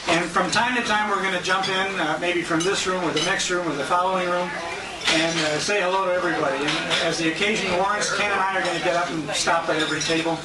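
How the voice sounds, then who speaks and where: A man's voice: high-pitched, steady tone, fast. A man speaking informally as emcee to banquet guests, jovial and folksy, with some hesitation, recorded from a distance on a handheld camera in a restaurant. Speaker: emcee and radio host. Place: restaurant banquet room, indoors. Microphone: handheld compact camera at a distance. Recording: noisy echoey dining room, distant handheld camera mic. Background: loud diners, clattering dishes and cutlery.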